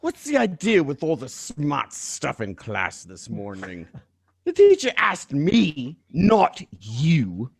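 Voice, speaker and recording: A man's voice: a little high, wildly swooping pitch, takes it slowly, creaky, slightly rough, no room sound, studio quality, heavily acted. A man playing a menacing schoolboy bully who sneers and mocks in a script reading of a radio drama. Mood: confrontational.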